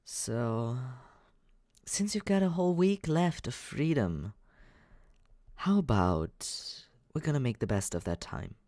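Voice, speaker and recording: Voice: mid-range voice, big pitch swings, slow, warm tone, dry recording, studio quality. An actor voicing a teasing but caring young companion in an intimate, conversational ASMR comfort roleplay, hesitant and reassuring. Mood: musing, caring.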